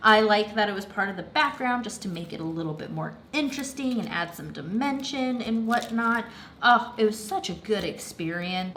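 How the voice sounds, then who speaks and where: A woman's voice: mid-range voice, slight background noise. A young woman chatting casually about a crafting project at home, slightly congested and rambling with lots of fillers. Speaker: craft vlogger. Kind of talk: casual chat.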